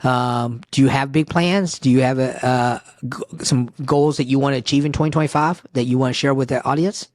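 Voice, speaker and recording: A man's voice: mid-range voice, steady tone, brisk. A man hosting an interview, asking questions in an upbeat way and often repeating words as he starts a phrase. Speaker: podcast host, interviewer.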